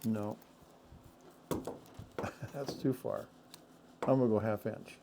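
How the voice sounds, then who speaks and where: A man's voice: on the low side, fairly even intonation. Man thinking aloud over a noisy workshop. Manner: thinks aloud, halting, self-correcting. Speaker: boat owner doing a DIY project. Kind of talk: casual DIY narration while working.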